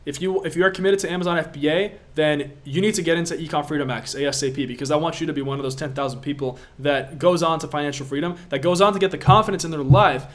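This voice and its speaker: A man's voice: on the high side, fast, few pauses, fairly bright tone. Emphatic young man running a live Q&A. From Latvia. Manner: emphatic, repetitive, pushy. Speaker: online business coach. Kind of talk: live Q&A stream.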